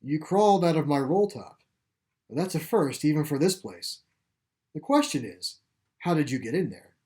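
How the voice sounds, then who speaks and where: A man reads the part of a genial middle-aged gentleman who looks after an animal sanctuary in a short story. He speaks courteously and reassuringly, with an unhurried, slightly old-fashioned formality. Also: a little high, lively intonation, lightly acted. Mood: curious. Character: genial middle-aged male sanctuary caretaker, retired veterinarian.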